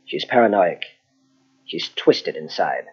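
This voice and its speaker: An actor playing a grave, earnest psychologist in an old-style radio mystery, husky and deliberate, with dramatic pauses. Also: male voice, low voice, very animated, heavily acted. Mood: grave, urgent. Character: earnest, grave male psychologist confronting a killer. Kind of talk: 1940s radio mystery acting. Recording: dull lo-fi old radio tape.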